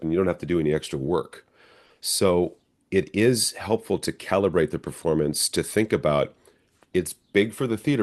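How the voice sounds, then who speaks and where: A man's voice: deep voice, a little mellow. A middle-aged man, an actor, answering interview questions in a reflective, conversational way. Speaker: stage and screen actor, interview guest.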